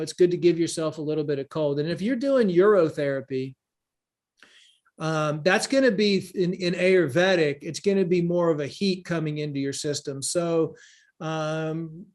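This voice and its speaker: Higher-voiced man giving rambling wellness advice. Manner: rambling, repeats phrases, lists examples. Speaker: wellness teacher and event leader. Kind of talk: informal spoken teaching, answering questions. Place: live online group call.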